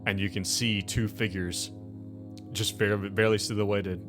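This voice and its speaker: A man narrating a tabletop game as game master, informal and halting, leaning on filler phrases. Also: fairly low pitch, little pitch movement. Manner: informal, halting, full of "like" and "you know". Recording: music playing behind the voice.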